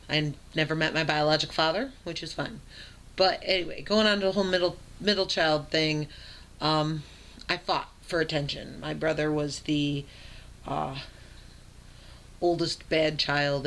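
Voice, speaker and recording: Young adult woman vlogger, deep raspy voice, plainspoken storyteller. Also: a bit bright, slight background noise. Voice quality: raspy low voice. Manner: frequent ums and uhs, plain and matter-of-fact. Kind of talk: casual personal storytelling.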